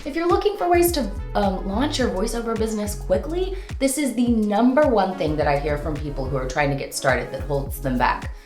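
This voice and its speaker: Woman host with very lively, swooping pitch. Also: fairly quick, hardly any pauses, reverberant, soft background music. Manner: playful, theatrical, sing-song flourishes, informal slang. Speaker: host and voice-over coach. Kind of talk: upbeat instructional vlog to camera.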